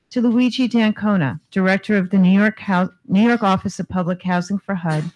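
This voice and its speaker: A woman's voice: fairly low pitch, a little mellow, rough recording. Woman losing her voice, formal presenter. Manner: reads formal text, clears throat. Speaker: housing agency executive presenting a resolution. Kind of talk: formal board meeting presentation.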